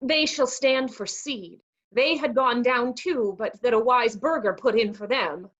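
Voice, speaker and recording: A woman's voice: a little high, unhurried, fairly bright tone, lo-fi sound. A woman playing a cheeky, streetwise male servant in a staged Shakespeare comedy reading, with jesting patter.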